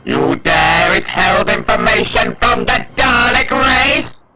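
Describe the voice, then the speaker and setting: A man's voice: very high voice, animated, slow, exaggerated voice. A man voicing an imperious alien war machine in a sci-fi fan audio drama, barking in staccato through a robotic effect. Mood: curt, obedient. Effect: robotic ring-modulated voice. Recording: echoing, loud music behind. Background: loud dramatic music.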